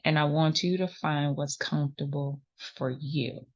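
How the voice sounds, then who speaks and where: Slow, low-voiced woman teaching crochet. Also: fairly even intonation, highs cut off. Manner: patient, reassuring, counts stitches aloud. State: sniffly runny nose. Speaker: crochet tutorial host. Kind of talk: step-by-step crafting instruction.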